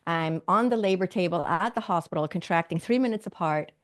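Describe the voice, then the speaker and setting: A woman's voice: lowish voice, fairly quick. A middle-aged woman from Sweden, a physician and medium, telling her story earnestly in an interview with light laughs.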